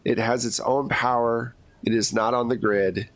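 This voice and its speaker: A man in a mid-range voice runs a tabletop horror game as its game master. He talks in a relaxed, descriptive way, laying out what the players find and taking their questions. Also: medium pitch, normal speed, no room sound.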